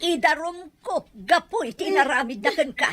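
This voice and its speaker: A high female voice that leaps up and down in animated scolding. This is an exasperated, sharp-tongued mother in an audio drama, a woman acting her out. She nags from one phrase to the next. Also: normal speed. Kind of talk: radio-style drama acting.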